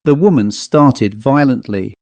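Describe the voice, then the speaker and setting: A man narrating an old literary ghost story in a measured, formal storytelling manner. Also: medium pitch, darkish tone, no room sound, muffled recording. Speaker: audiobook narrator.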